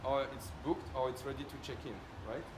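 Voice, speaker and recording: A man's voice: mid-range voice, fairly quick. French guy doing a casual product demo, keeps checking you follow, echoey room w/ background noise. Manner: explanatory, often ends points with checking tag questions. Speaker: company presenter demonstrating a product.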